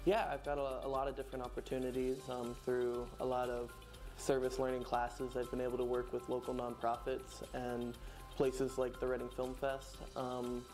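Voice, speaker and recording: A man's voice: medium pitch, completely flat delivery, moderate pace, a little mellow, faint music behind. A graduating man speaking matter-of-factly in a testimonial interview. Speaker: graduating student.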